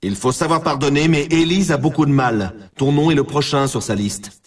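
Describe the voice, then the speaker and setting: A man playing an excitable race announcer in a snowboarding video game, emphatic and talking straight to the player in a familiar, needling way. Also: fairly high pitch, moderate pace, far from the mic, natural voice. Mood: teasing warning. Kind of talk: hyped sports commentary for a video game.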